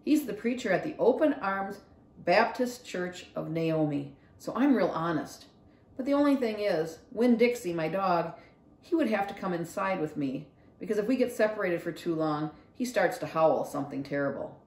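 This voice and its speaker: A polite, persuasive young storybook girl, voiced by a woman. She pleads earnestly, with lifted, very animated intonation. The room adds some echo. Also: lowish voice, normal speed, distant mic. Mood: earnest pleading.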